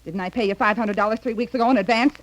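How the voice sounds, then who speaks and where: An actress playing an impatient, scornful, hard-bitten wife in brusque 1930s radio drama style. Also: female voice, a little high, very fast, close to an everyday voice, rough recording. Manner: brusque, scoffing. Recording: old 1930s radio recording, slight noise.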